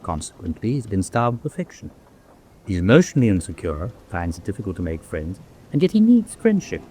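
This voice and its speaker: A self-assured camp doctor with a taste for psychology in a radio drama. His very low voice swings expressively as he explains, with slight noise behind. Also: big pitch swings, a little fast, warm tone. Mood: analytical. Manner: opinionated, explanatory. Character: self-assured amateur psychologist, prison-camp medical officer. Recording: faint background noise.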